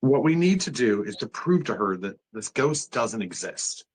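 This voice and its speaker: A man's voice: mid-range voice, sing-song, normal speed, natural voice, poor audio. A man playing an authoritative psychiatric doctor in a dramatic table read, questioning and insistent. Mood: stern, skeptical.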